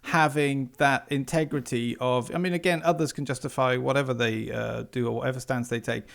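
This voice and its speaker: A man hosting a casual interview, his voice carrying a gravelly fry. He talks effusively, stumbling and repeating words as he gets going. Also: mid-range voice, fairly even intonation, a little fast. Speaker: podcast host.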